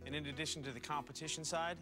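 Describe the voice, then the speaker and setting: Measured male executive, mid pitch, music bed. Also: steady tone. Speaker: marketing executive. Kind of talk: interview sound bites.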